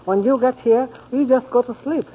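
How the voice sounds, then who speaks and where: A man's voice: very high-pitched, moderate pace. An actor playing an eager Chinese father who runs a bait barge, in clipped broken English with a Chinese accent, in 1940s radio style. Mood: eager, bargaining. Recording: muffled, hissy 1940s radio recording.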